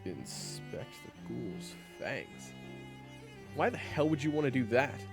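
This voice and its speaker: A man acting a gruff, impatient monster hunter with a gravelly, blunt delivery in an audio roleplay. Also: medium pitch, very animated, slow pace. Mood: gruff, urgent. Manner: blunt, exasperated. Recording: music bed under the voice. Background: background music.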